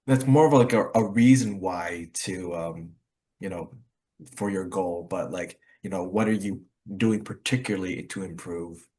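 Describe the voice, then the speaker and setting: A man's voice: sits low, unhurried, darkish tone. A man hosting a casual online English practice call, explaining things in a rambling, hedging way. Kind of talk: casual group discussion.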